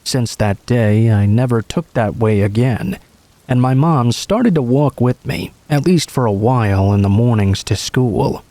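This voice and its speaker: A man reading scripted first-person stories as a narrator, in a measured storytelling way. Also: medium pitch, dark tone, no room sound.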